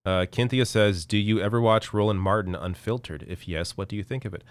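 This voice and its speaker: A man in his thirties hosting a live political stream, reading chat and answering in a casual, halting way while losing his voice. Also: fairly low pitch, no room sound. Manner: casual, halting, many restarts. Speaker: political show host. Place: small dark room, indoors. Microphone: studio mic on arm in front.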